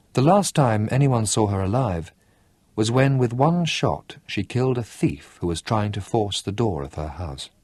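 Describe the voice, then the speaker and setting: A man reading a novel aloud in an expressive audiobook style, with lively, dramatic delivery and slowed emphasis. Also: lowish voice, slightly rough, no room sound.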